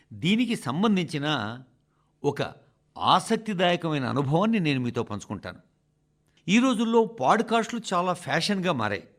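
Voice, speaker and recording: Expressive, high-pitched man reading an address. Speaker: radio reader of a translated national address. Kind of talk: scripted broadcast narration, oratorical address.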